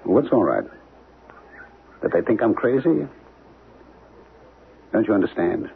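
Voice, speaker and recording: A brooding, obsessed radio-play character with a very low, gravelly voice. He is anguished and insistent. The recording is a dull, noisy old radio broadcast. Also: big pitch swings, a little slow, heavily acted. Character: anguished, obsessed man convinced he saved the world. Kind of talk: radio drama acting.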